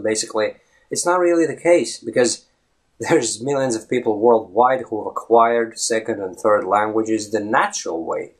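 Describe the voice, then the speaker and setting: A man in his thirties, a native Latvian speaker, talking to camera as an English fluency coach in a conversational way, often checking in with a quick "right?". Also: lowish voice. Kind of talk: talking-head video lesson. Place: indoors in front of a green screen. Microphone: close camera, head-and-shoulders framing.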